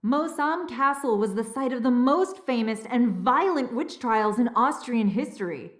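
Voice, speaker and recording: A woman's voice: fairly high pitch, expressive, measured pace, a bit bright, reverberant. An actress playing a scholarly, slightly flustered female castle historian in a video game, speaking earnestly and precisely. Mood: informative. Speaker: historian and relic keeper. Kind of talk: video game dialogue, expository.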